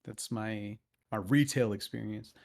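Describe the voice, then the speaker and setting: Gravelly young man, casual podcast talk. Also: on the low side, steady tone, measured pace, darkish tone. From United States. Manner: loose storyteller, swears freely. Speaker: podcast co-host. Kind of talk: casual chat.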